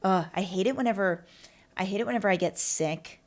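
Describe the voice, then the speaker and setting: A woman's voice: medium pitch, a little fast. A young woman hosting her own podcast and rambling casually on her own, with lots of fillers and asides. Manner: rambling and chatty, with lots of fillers and self-talk. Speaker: podcast host. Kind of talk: casual solo podcast monologue.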